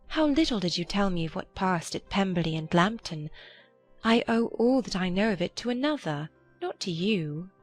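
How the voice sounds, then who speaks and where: A woman playing a gentle, earnest, affectionate young gentlewoman in a dramatized period audiobook. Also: mid-range voice, animated, normal speed, faint music behind, natural voice. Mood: happy, affectionate. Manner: earnest, tender.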